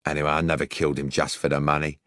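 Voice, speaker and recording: Man acting a storybook murderer, gruff, boastful, threatening, deep voice, dry close sound. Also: little pitch movement, rapid-fire, a bit bright, no echo. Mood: menacing, boastful. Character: gruff, menacing murderer, boastful criminal thug. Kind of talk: audiobook character acting.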